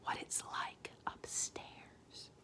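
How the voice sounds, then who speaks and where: A woman's voice: high-pitched, expressive, a little slow, slight background noise, pitched above the performer's own voice. A woman voicing an anxious, fretful middle-aged mother who scolds dramatically and trembles. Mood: whispering fearfully. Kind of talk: audiobook character reading.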